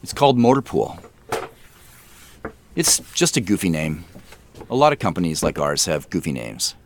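Hesitant man in his thirties, swooping pitch. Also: sing-song, normal speed. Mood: awkward, modest. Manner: hesitant, self-deprecating. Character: awkward, modest late-thirties man running a tech company. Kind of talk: audio drama dinner dialogue.

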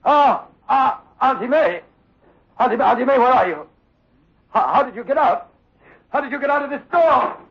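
A man's voice: very high voice, expressive, normal speed, long pauses, slightly rough, full character voice. A man playing a frightened, pleading, stammering nephew tormented by guilt in a 1960s radio horror play. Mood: panicked. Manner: stammering, panicky. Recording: muffled old-time radio recording.

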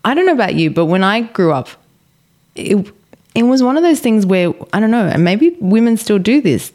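A woman's voice: medium pitch, darkish tone. Thoughtful woman in her forties. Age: 40s. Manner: thoughtful, with frequent pauses and fillers. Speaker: podcast co-host. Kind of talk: casual chat.

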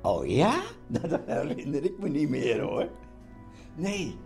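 A man, reminiscing in casual chat, halting and repeating words. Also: fairly high pitch, big pitch swings, gravelly, darkish tone, faint music behind. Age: 80s. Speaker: elderly father being interviewed.

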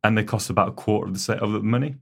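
A man in a casual technical chat, relaxed and hedging with "you know" and "like" as he explains his testing. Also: lowish voice, very fast, vocal fry, breathy edge. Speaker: technical editor, wheel tester.

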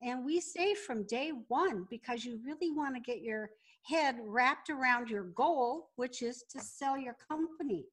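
A woman with a somewhat high-pitched voice speaks as a podcast guest. She gives business advice conversationally, going through it in an instructive, methodical manner. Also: lively intonation, unhurried, hardly any pauses, a bit bright.

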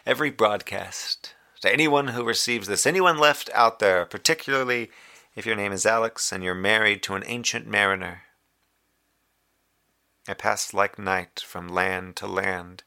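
An actor playing a lonely, wistful man sending a broadcast from a moonbase in a slow audio drama monologue. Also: on the low side, bright, forceful tone. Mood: wistful, tender.

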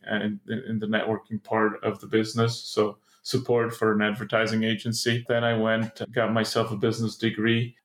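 A middle-aged Czech-born man, a private equity partner, giving measured, explanatory interview answers with some restarts. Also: on the low side, roomy sound. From Czech Republic.

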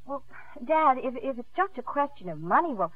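A woman's voice: sits high, very animated, normal speed. A woman playing a spirited, practical, devoted young daughter in a 1950s radio drama. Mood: earnest, reassuring. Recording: muffled 1950s radio broadcast, faint music.

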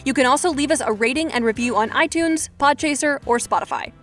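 A woman's voice: high-pitched, fairly quick, fairly bright tone, soft background music. A woman hosting an advice podcast, moving between scripted narration and interview talk. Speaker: podcast host.

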